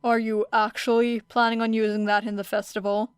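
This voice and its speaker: A woman's voice: fairly high pitch, little pitch movement, fairly quick, clear, resonant tone, dry recording, natural voice. Casting: stern, fed-up male mentor in an audiobook story played by a woman, curt and incredulous, dry close sound. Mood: stern, reproachful. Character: stern, exasperated adult male hero teacher.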